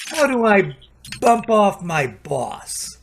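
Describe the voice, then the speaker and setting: A man plays an exasperated radio-drama working man, slow but very animated. He grumbles sardonically and sounds worn out, with faint noise behind him. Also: sits high, vocal fry, heavily acted. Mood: musing. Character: weary, exasperated male maintenance crew chief.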